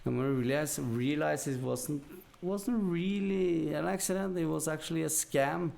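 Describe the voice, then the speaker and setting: A man's voice: fairly high pitch, moderate pace, gravelly, a little mellow. A man with a Norwegian accent telling stories casually as a podcast guest, in a rambling, blunt, dry-humored way. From Norway. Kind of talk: casual storytelling.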